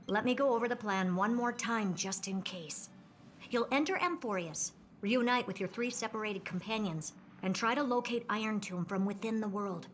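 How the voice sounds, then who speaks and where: A woman's voice: medium pitch, normal speed, slight background noise, close to an everyday voice. An actress playing a confident woman genius in a video game, setting out plans in an assured, instructive way. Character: confident, brisk woman genius leading the plan. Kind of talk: game character acting.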